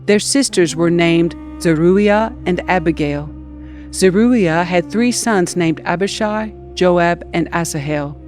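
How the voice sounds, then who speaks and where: A woman's voice: fairly low pitch, slow, darkish tone, soft background music. A woman reading scripture aloud as a scripted audiobook narration. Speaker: scripture reader.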